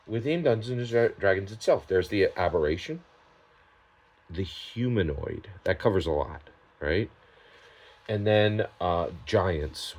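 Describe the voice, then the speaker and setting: Male gaming vlogger, low-pitched and rough-edged. Off-the-cuff opinions, halting but emphatic, frequent check-in tags. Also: darkish tone. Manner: emphatic, halting delivery with repeated tag questions. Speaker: tabletop gaming vlogger giving his opinions. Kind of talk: unscripted, opinionated product commentary.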